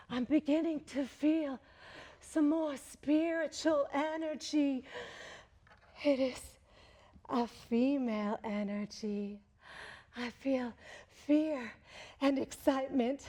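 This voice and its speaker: A woman leads a staged séance before a live audience, speaking slowly and deliberately in a slightly raised voice. Her phrasing is formal and old-fashioned, as if from another era. A handheld microphone picks her up over a steady hiss of room noise. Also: sits high. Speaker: presenter playing a séance medium. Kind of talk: theatrical séance performance. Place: large library event room.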